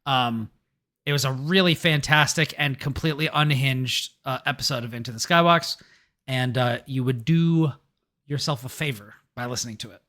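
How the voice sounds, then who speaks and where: A tired man hosting a casual podcast, joking and chatting in a relaxed way. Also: medium pitch, moderate pace. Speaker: podcast host.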